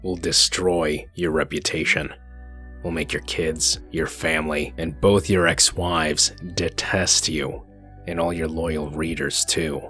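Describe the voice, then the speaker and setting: A man playing a gruff, cold, commanding wolf sheriff in human form, curt and threatening, in a comic dub. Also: rumbling low, level tone, slow pace, pushed and bright, soft background music, exaggerated voice. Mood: cold, menacing. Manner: curt, threatening, coolly controlled.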